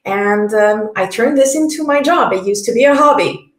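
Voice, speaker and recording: Young Quebec French speaker, earnest presenter, slightly high voice, roomy home recording. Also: female voice, animated. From Quebec, Canada. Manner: earnest, explanatory, with slow emphatic stretches. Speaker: conference speaker. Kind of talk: presentation talk. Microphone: headset.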